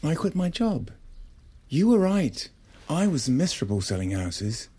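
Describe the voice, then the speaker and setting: A man's voice: a little high, sing-song, a little slow, mellow, breathy edge, full character voice. A man playing a defensive estranged husband who wants change, in a naturalistic audio drama, justifying himself with some hesitation. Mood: blunt, resolute.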